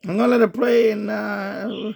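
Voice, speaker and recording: A man talks casually and at length, circling back and repeating himself as he goes. His voice sits high and sounds gravelly, with a husky edge. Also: fairly even intonation, moderate pace, a little mellow. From United States. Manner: rambling, repeats himself, frequent restarts. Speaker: ham radio channel host. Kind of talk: casual commentary to camera.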